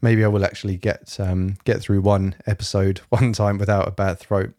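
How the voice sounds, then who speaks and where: A young man hosting his solo podcast in a rambling, fairly monotone way, with lots of fillers and a slightly rough throat. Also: on the low side, normal speed, no echo, clean studio sound. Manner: rambling, many fillers and restarts. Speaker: podcast host. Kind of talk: casual solo podcast chat. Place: home, evening, quiet room.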